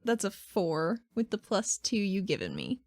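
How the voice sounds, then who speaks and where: A woman's voice: mid-range voice, wildly swooping pitch, measured pace, darkish tone, no room sound, clean studio sound. A woman chatting casually as a player in a tabletop game, describing what a character does.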